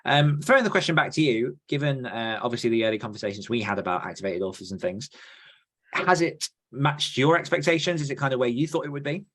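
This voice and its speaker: A man's voice: mid-range voice, fast talker, fairly bright tone. A man with a mid-range voice hosts a podcast. He talks in a casual, conversational way, as in a chat between co-hosts. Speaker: podcast host.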